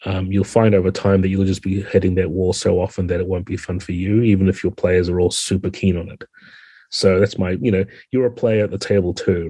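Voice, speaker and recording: A middle-aged man with a New Zealand accent, talking reflectively and explaining things in a relaxed interview. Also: low-pitched, husky, dry recording. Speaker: podcast guest, game designer.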